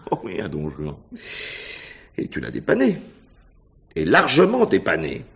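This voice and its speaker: An actor playing a smug, cuckolded husband in a radio mystery play, talking in a mocking, condescending manner. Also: male voice, low voice, wildly swooping pitch, unhurried, vocal fry, fairly bright tone, exaggerated voice. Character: sardonic, smug cuckolded husband and theatre man.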